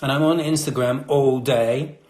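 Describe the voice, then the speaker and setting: A man's voice: mid-range voice, fairly even intonation, a little slow, a little mellow. A man from Britain, a chocolatier hosting a casual live chat, talking in a chatty, slightly nervous way with many fillers. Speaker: chocolatier host. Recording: roomy echo.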